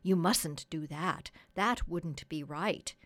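A woman voicing a kindly, curious male doctor in a children's story, gentle, polite and earnest. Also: fairly low pitch, expressive, a little slow. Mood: gently disapproving.

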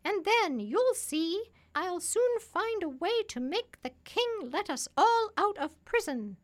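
A woman's voice: extremely high pitch, very animated, takes it slowly, voice pushed up, heavily acted. A woman voicing a small, clever, proud female parrot in a storybook, assertive and precise in how she explains things. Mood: scheming, confident.

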